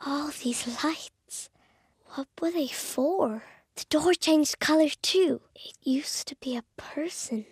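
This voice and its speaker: A small, inquisitive game heroine, a brave outcast girl whose high voice goes slow and careful as she puzzles things out. She often drops to a hushed whisper while sneaking. Dry, close studio sound. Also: no room sound. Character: curious brave young girl, outcast huntress. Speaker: video game character. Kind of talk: video game voice lines.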